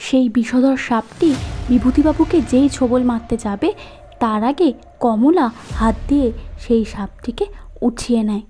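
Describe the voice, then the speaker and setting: A woman tells a scripted cartoon tale. Her voice is high-pitched and very mellow. Music plays under the narration. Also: normal speed.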